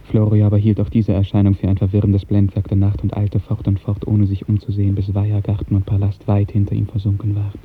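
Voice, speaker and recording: A man narrating a literary 1950s German radio drama in a dramatic, declamatory style with measured diction. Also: on the low side, monotone, husky. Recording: 1950s radio recording, thin narrow band, music.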